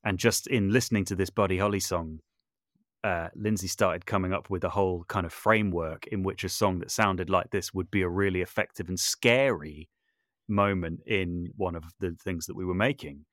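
A man with a fairly low voice speaks as a guest in a relaxed, conversational interview. The recording is close and dry, and he thinks aloud, qualifying and rewording his points. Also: normal speed, no room sound. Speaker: podcast guest, composer, sound designer and voice artist.

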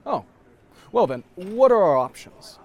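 A pompous young nobleman in a fantasy audio drama. His pitch swings widely, and he speaks formally, prim and quick to take offence. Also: sing-song, darkish tone, dull audio, full character voice. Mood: puzzled. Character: proud, prim young royal-blooded male adventurer.

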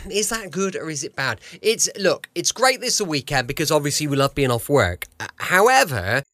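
Bright, animated English man hosting casually. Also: on the high side, no echo. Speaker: podcast host. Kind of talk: casual chatty monologue. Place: home, dry close recording.

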